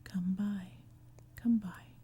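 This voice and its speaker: A woman voicing sly little goblin fruit sellers from a storybook poem, in a breathy, coaxing, sing-song whisper. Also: medium pitch, fairly even intonation, extremely slow, warm tone, a little hiss or hum.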